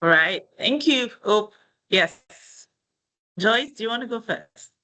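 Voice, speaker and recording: A man with a slightly higher-than-usual voice moderates a career webinar. He talks loosely and conversationally, tagging his points with "you know" and "right?" as he walks the audience through them. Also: expressive, slow pace, bright, forceful tone, low-quality recording. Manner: explains with frequent "you know" and "right?" tags.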